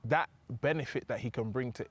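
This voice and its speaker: A man's voice: mid-range voice, brisk, breathy edge. Chatty young British man. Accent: British English. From England. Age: young adult. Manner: relaxed, chatty, uses filler phrases like "do you know what I mean". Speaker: guest. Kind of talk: casual conversational interview.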